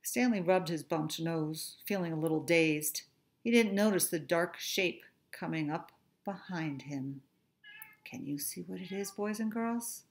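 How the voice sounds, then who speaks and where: A middle-aged woman, a teacher, reading a children's story aloud with expressive, deliberate phrasing in a room at home. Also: fairly low pitch. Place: small furnished room at home.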